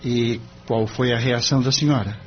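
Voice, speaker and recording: An actor with a Brazilian accent playing an earnest young lawyer, polite, formal and gently probing in an audio drama. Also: male voice, mid-range voice, steady tone, moderate pace, close to an everyday voice. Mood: reassuring, probing. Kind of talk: audio drama acting in Portuguese. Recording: dull lo-fi audio with clear noise.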